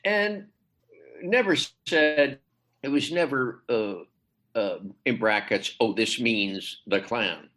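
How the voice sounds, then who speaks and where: A man speaking as a literary translator in a podcast interview, hesitant with many fillers and restarts, his pitch climbing sharply when he stresses a point. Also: slow pace, fairly bright tone. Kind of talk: conversational interview.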